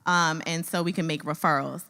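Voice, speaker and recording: A woman from a nonprofit giving a conversational comment at a conference, with a loud voice and frequent filler words. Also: low voice, steady tone, brisk, gravelly. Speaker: nonprofit staffer. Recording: reverberant conference hall.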